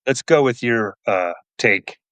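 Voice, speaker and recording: A middle-aged man with a medium-pitched voice speaks as a guest in a casual interview. He is thoughtful and wry, given to gentle self-mockery. The recording is dry and free of room sound. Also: slow, clear, resonant tone, dry recording. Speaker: podcast guest, police officer and author.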